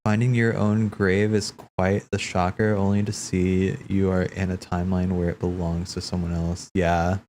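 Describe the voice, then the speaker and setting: A man's voice: low-pitched, little pitch movement, moderate pace, few pauses, darkish tone, slight background noise. A man streaming casual watch-party commentary, musing and wry as he reacts.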